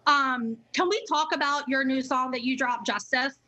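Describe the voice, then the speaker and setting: A woman's voice: high-pitched, moderate pace, sharp tone. A woman hosting a casual interview, effusive and chatty, swearing freely and filling with "like" and "um". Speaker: interview host.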